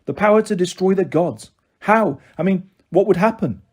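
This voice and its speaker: A man's voice: high-pitched, normal speed, voice pushed up. A man voicing a blunt, intense teenage demigod girl in a storybook narration. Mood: awed, intense. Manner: blunt, muttering.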